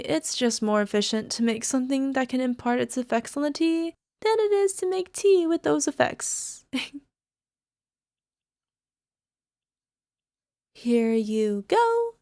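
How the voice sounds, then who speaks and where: High, sweetly obsessive alchemist girlfriend, acted by a woman. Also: animated, moderate pace, no echo, studio quality. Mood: proud, playful. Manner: soft, coaxing, giggly, slipping into whispers. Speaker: voice actor. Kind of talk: ASMR girlfriend roleplay. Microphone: close microphone, dry.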